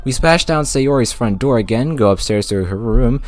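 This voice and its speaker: A man's voice: medium pitch, lively intonation, normal speed, no room sound, soft background music. A man narrating a scripted, jokey video game review with sarcastic, playful asides.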